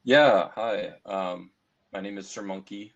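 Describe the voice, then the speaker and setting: A man's voice: lowish voice, takes it slowly. An American man casually introducing himself as a game developer and IT worker. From United States. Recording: dull, lo-fi call audio.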